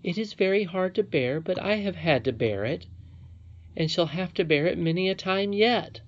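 A woman playing a gentle, loving old grandmother in a storybook, patient and wise. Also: lowish voice, moderate pace, lo-fi sound, voice pushed up, lightly acted. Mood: consoling. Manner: sweet, patient. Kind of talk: storybook character reading.

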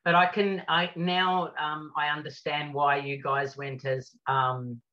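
A woman's voice: low-pitched, level tone, takes it slowly, bright, forceful tone. A woman guest on a casual interview, chatting in a rambling way with many fillers over a video call. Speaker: guest artist and cabaret singer. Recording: lo-fi lockdown call audio.

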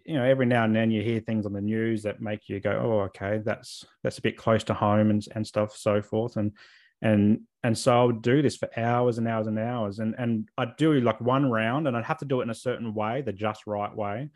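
A man's voice: on the low side, steady tone, moderate pace, darkish tone. A man speaking as an interview guest, telling his personal story in a candid, reflective and informal way. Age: adult, over 28.

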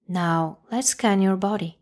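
A woman narrating a guided meditation, speaking calmly in measured phrases with long pauses. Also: mid-range voice.

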